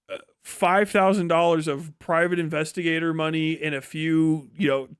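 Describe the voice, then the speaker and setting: Male American livestream commentator, high-pitched, blunt and profane, casual opinionated delivery, very dry sound. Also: clean tone, no room sound. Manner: profane, blunt, scornful, slangy. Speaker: livestream host and political commentator.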